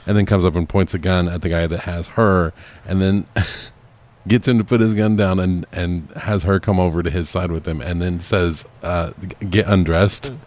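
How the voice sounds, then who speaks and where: A man with a low voice chats casually as a podcast co-host. He recounts movie scenes for laughs, bluntly and with plenty of swearing. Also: fairly even intonation, normal speed, a little mellow. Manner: blunt, heavily profane. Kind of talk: casual comedic movie-review chat.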